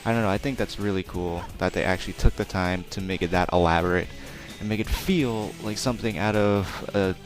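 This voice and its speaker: A young man giving rambling, casual let's play commentary with a naturally quiet voice. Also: sits low, brisk. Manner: rambling, chatty, self-deprecating, many fillers. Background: game music.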